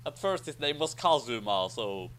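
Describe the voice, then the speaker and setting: A man's voice: mid-range voice, sing-song, moderate pace, noisy background. A man giving casual commentary as the author of a story.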